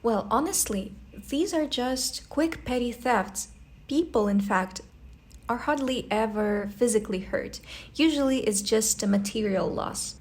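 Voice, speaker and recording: A woman's voice: a little high, lively intonation, a little slow. Young Eastern European woman vlogging. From post-Soviet country. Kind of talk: scripted voice-over narration.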